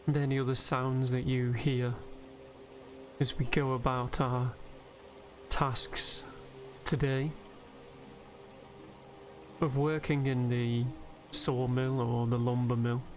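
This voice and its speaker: Slow, even-toned man guiding sleep meditation. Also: mid-range voice. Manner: soothing, unhurried, gentle. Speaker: host and narrator of a game meditation video. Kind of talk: guided sleep meditation commentary over gameplay. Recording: steady background noise, game nature ambience. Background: game ambience of river and birdsong.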